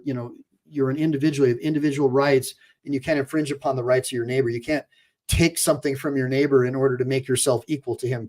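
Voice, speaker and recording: A man's voice: mid-range voice, a little mellow. A man who is an attorney, hosting a casual livestream and talking straight to his viewers, repeating points emphatically with fillers and restarts. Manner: talks straight to viewers, reads chat aloud.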